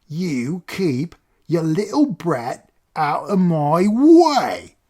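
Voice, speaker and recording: A man's voice: fairly high pitch, sing-song, a little slow, a little mellow, pitched above the performer's own voice, heavily acted. A man voicing a grubby, greedy male street driver who bullies a boy, in a coarse, jeering manner. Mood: menacing.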